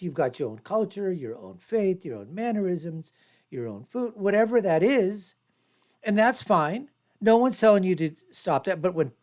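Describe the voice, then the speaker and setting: Woman host, born in America, emphatic panel talk, lowish voice. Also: a little mellow. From United States. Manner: emphatic, mimics others in quotes. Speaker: show host.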